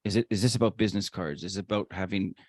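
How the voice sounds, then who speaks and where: Low-voiced man co-hosting, close dry sound. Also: racing, darkish tone, no echo. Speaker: podcast co-host. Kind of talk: casual podcast conversation.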